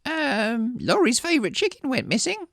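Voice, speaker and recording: A woman acts out a nervous middle-aged village man from a storybook. The voice is high and swings widely in pitch. He stammers and hesitates, deferring and apologising timidly, recorded close and dry. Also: wildly swooping pitch, normal speed, creaky, dry recording, full character voice. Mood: nervous, hesitant. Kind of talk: acted story dialogue.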